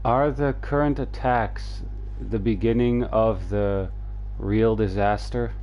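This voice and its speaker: A man streaming a game, reading dialogue aloud in a halting, low-key way. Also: fairly even intonation, darkish tone, lo-fi sound. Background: game music.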